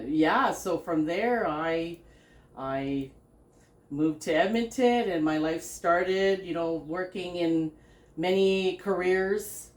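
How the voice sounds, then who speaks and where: A middle-aged woman with a notably low voice, seated on a sofa and talking into a stand mic. She tells her life story haltingly, with plenty of ums and restarts. The living room gives her voice a roomy echo. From Philippines, raised in Canada. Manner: halting, many fillers and restarts, laughs often. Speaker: podcast host and business founder. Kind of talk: casual interview, telling her life story. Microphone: stand mic between speakers.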